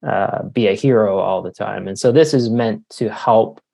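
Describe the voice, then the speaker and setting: A man's voice: fairly low pitch, moderate pace, gravelly, warm tone. A middle-aged man giving reflective, somewhat hesitant answers as an author and leadership coach being interviewed.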